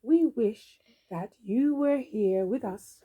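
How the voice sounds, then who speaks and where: A woman reading a storybook aloud for young children in an expressive, theatrical, drawn-out way. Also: fairly high pitch, narrow, tinny audio. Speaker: storybook reader.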